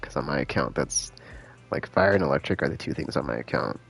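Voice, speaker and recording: A man chatting casually on a live game stream in a laid-back, slangy, rambling way, thinking out loud, with a gravelly voice. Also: very deep voice, lively intonation, a little fast. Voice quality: gravelly, creaky.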